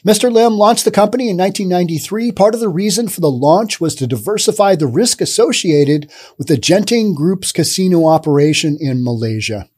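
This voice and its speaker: A man in his thirties hosting a podcast, giving casual, sarcastic commentary on the news into a studio boom mic. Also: lively intonation, brisk, few pauses, a little mellow, slightly rough. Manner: sarcastic, acts out imagined dialogue. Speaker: podcast host. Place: dim studio with brick wall and neon sign.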